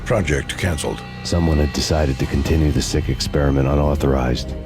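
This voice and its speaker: A man voicing a brooding, hardboiled detective who narrates in a flat, grim, world-weary way, with music playing behind him. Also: a bass voice, a little fast. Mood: reading flatly. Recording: game cutscene with loud music behind.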